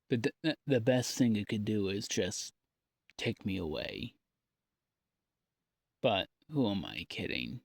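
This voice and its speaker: A man speaks into a personal audio log at a slow, halting pace, leaving long pauses between thoughts. His delivery is downbeat and folksy, rambling in a confessional way. The sound is dry, with no room around the voice. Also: lowish voice, creaky, darkish tone, breathy edge, no room sound. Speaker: audio diarist.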